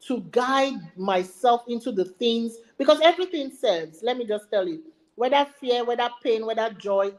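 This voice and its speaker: A woman's voice: a little high, poor audio. A woman with a Nigerian accent giving an emphatic motivational webinar talk, repeating her key phrases for effect. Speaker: learning and development speaker.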